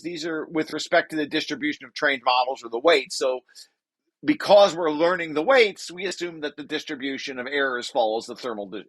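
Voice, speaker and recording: A man's voice: fairly high pitch, sharp tone, no room sound. An older man giving an informal technical lecture, reading through a paper and explaining it, often rephrasing as he goes. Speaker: researcher presenting his paper.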